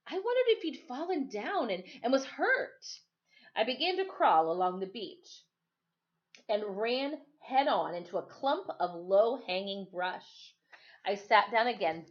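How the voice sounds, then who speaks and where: A woman reading a storybook aloud like a teacher, narrating expressively and pausing to explain. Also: medium pitch, dull audio.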